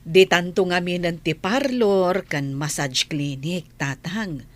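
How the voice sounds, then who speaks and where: Slow, low-voiced loving daughter acted by a woman. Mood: affectionate. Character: affectionate grown daughter, a beauty parlor owner. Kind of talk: audio drama dialogue. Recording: clear background noise.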